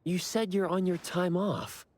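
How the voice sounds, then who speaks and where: A man's voice: sits high, steady tone, unhurried, a little mellow. An actor voicing a polite, mild-mannered young man in an anime game, courteous and a little hesitant.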